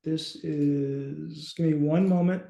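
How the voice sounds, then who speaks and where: A man in his thirties chatting informally as a co-host, thinking aloud in a halting way on a video call. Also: on the high side, fairly even intonation, creaky, dark tone. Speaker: co-host, app company team member. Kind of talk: casual, informal demonstration chat. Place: small home office indoors. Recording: echoey room on a video call.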